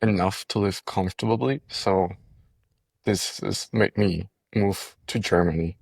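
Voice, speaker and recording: A man's voice: sits low, fairly even intonation, measured pace, creaky, dry recording. A young man from Costa Rica, a podcast guest, telling his story in casual chat, often repeating words and laughing between thoughts.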